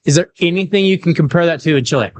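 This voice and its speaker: A man hosting a casual sports podcast, chatty, with quick asides and leading questions. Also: a little high, no echo, lo-fi sound. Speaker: podcast host. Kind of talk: casual sports chat and interviews.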